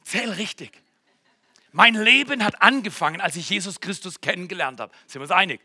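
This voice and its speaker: Dynamic German-speaking preacher man, bright. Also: high-pitched, lively intonation, brisk, slightly rough, dry recording, clean studio sound. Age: 40s. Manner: dynamic preaching, swinging from loud exclamations to hushed near-whispers; addresses the congregation directly with jokes and questions. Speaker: pastor. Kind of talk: sermon. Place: church.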